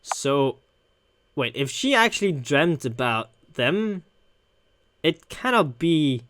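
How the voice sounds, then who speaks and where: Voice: medium pitch, sing-song, slow, a bit bright, no room sound. A theatrical video game host commenting while playing and reading a visual novel aloud. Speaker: gaming video host and narrator. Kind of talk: let's play commentary with character voices.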